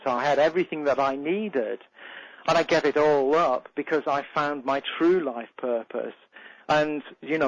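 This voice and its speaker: An English man talks earnestly into a studio microphone, hosting a motivational radio hour as a success coach. His voice sits a little high. He rambles, restarting sentences and leaning on "you know", and the broadcast sound is close, dry and a little dull. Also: dry recording. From England. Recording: slightly muffled radio broadcast.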